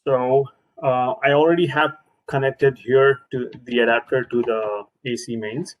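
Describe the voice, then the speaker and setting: A man's voice: mid-range voice, fairly even intonation, unhurried, phone-quality sound, a little hiss or hum. A man presenting an informal product demonstration as a trainer, speaking hesitantly and often repeating himself.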